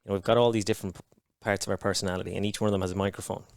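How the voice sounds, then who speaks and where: A man talking frankly on a podcast, cursing freely and stopping to restart his thoughts. His voice is smooth and a little low, recorded dry and close with no room sound. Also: flat delivery, no room sound. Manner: frank and heavy-swearing, halting restarts. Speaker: podcast guest. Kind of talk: candid conversation.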